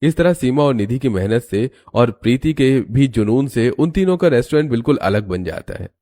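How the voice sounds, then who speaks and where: A man's voice: medium pitch, expressive, normal speed, dark tone. A man narrating a scripted cartoon family story as its storyteller.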